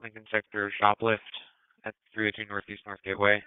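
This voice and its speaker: A man's voice: lowish voice, completely flat delivery, brisk, clear, resonant tone, no room sound. A man working as a police dispatcher, reading out incident reports over the radio in a clipped, businesslike way. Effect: police radio transmission. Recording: tinny narrowband police scanner audio.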